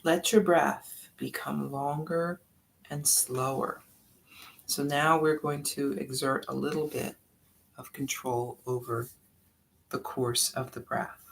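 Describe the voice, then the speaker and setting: Slow, gravelly meditation guide voice. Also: medium pitch, fairly even intonation, background noise. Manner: soft-spoken, drawn-out instructions with long pauses.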